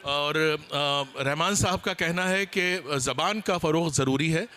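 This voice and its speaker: A man speaking as a panelist at a seminar, explaining his points in a deliberate, hesitant way. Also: a little high, pushed and bright.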